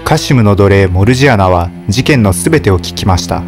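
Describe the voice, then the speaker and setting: A male storyteller reading a fairy tale in a steady, measured way. His voice sits on the low side, and music plays clearly beneath the narration.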